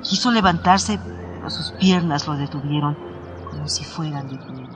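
A man's voice: a little high, normal speed. A man reading scripted, literary narration for a radio drama. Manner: literary storytelling. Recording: music under the narration.

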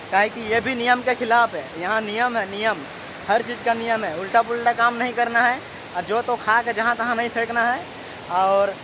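Chatty man vlogging beside a roaring waterfall. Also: very high-pitched. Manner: chatty, rambling, laughs often. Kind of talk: casual travel vlog talk. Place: outdoors by a waterfall. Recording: loud hiss of falling water.

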